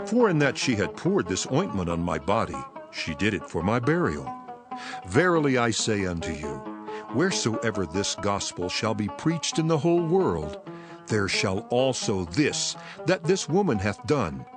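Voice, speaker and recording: Solemn authoritative teacher, lowish voice, lively intonation, measured archaic speech, scripture drama, strong music bed. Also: male voice. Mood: solemn, reproving. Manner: solemn, measured, weighty delivery. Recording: voice over prominent music.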